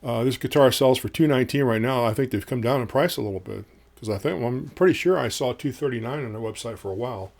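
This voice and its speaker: A man in his fifties with a low voice, reviewing a guitar in casual, rambling talk full of ums, recorded in a small home studio. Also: fast, vocal fry, a little mellow. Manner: rambling, folksy, many ums and uhs.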